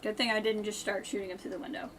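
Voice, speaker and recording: A woman's voice: mid-range voice, level tone, fast, fairly bright tone, a little hiss or hum. A woman giving casual, chatty live gameplay commentary, thinking aloud and talking to viewers as she plays. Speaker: game streamer.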